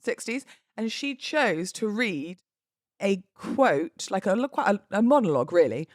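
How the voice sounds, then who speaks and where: A British woman in her thirties talks in an informal, expressive podcast style. Her pitch sits in the middle of the female range. She chats freely with casual British slang, and the recording is dry, with no room sound around her. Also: unhurried, dry recording. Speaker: podcast host and wedding celebrant. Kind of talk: casual solo podcast chat.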